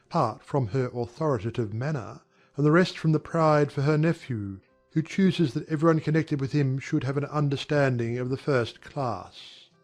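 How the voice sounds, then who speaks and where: A man playing a charming young gentleman who confides his resentments in a dramatized audiobook. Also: fairly high pitch, close to an everyday voice.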